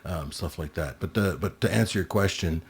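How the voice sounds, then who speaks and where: A man's voice: deep voice, a little fast, breathy edge. A middle-aged French Canadian man, a guest telling stories with lots of laughter in a casual podcast chat. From Montreal, Quebec. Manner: animated storyteller who laughs a lot.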